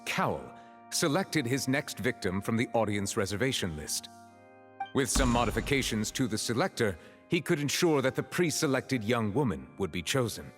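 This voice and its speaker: A man voicing a composed, stern chief justice in a video game, formal, measured and authoritative. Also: on the low side.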